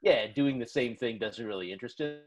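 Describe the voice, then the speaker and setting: A man's voice: medium pitch, fairly quick, crowd noise. A man in his forties, a guest in a casual interview, answering in a friendly, agreeable way. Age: 40s.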